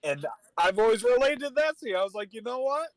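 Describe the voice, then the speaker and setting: A man with a high voice offers casual commentary as a panelist. He reflects on storytelling in a conversational way. The recording is dry, with hardly any room sound. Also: big pitch swings, brisk, dry recording, poor audio. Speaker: panelist on a fiction after-show.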